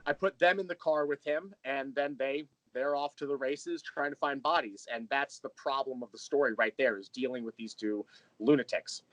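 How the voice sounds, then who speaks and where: A man's voice: mid-range voice, normal speed, a bit bright, no echo, lo-fi sound. Man explaining his work, close dry sound. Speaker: interview guest, audio drama creator and writer. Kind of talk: casual interview conversation.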